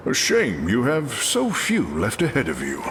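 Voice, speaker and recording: A man's voice: mid-range voice, wildly swooping pitch, a little slow, fairly bright tone, a little hiss or hum, heavily acted. A man playing a proud, noble warrior god of thunder in a video game, with stately, archaic, declamatory delivery. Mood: solemn, proud. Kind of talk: theatrical video game voice acting.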